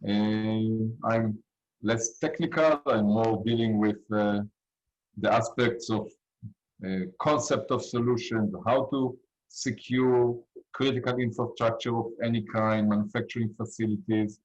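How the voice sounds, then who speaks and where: Israeli-accented male panelist, low pitch, polite, hesitant delivery over dull call audio. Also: steady tone. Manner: hesitant, polite, halting phrasing. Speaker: guest speaker. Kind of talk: panel introduction. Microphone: video call. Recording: muffled video call audio.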